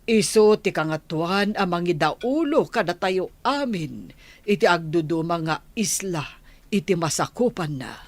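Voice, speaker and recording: A woman with an Ilocano accent playing a dignified village noblewoman and mother in a radio drama, measured and formal. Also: fairly low pitch, lively intonation, no room sound. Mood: formal, respectful.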